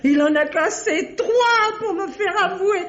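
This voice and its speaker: High-voiced obsessive murderess, echoey. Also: female voice. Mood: unrepentant. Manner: theatrical, emotive, drawn-out confession. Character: obsessive female porcelain collector confessing to murder, self-pitying, unrepentant. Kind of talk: 1950s French radio mystery acting. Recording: dull, echoey vintage radio drama recording.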